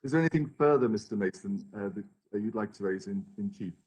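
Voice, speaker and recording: A man who is losing his voice leads a witness through questions in a halting way, clearing his throat often. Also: lowish voice, a little mellow, rough recording. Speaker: advocate. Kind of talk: examination in chief.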